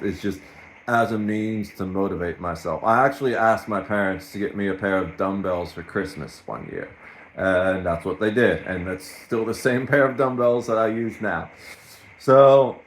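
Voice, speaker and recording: A man in his thirties speaks slowly in a rather low voice. He rambles in a casual solo vlog, poking fun at himself as he goes. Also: rough recording. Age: 30s. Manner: rambling, self-mocking, slow and unhurried. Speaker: streamer.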